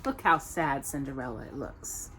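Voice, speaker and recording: A woman hosting storytime, reading a children's story aloud with expressive, dramatic emphasis on descriptive words. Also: a bass voice, unhurried, a little hiss or hum.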